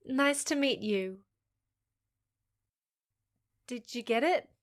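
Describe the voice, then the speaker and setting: Woman doing wry candid half-human gal in a podcast drama, highish voice, slow, kinda awkward. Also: expressive. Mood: friendly, awkward. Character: frank, wry half-human woman, pun-loving job seeker. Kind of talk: fiction podcast acting.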